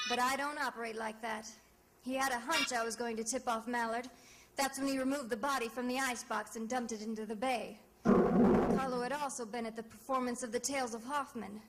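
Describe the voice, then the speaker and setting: A woman acting as a tough lady private eye with a high voice. She tells her case with hard-boiled, wry drama, in the manner of an old-time radio mystery, with music behind her. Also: close to an everyday voice, low-quality recording.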